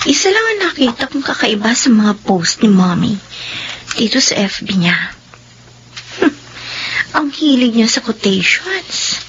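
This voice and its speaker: A woman's voice: fairly high pitch, sing-song, slow pace, long pauses. A woman playing a persistent, concerned young daughter in a radio drama, with a Filipino accent. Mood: curious. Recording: muffled audio with faint noise.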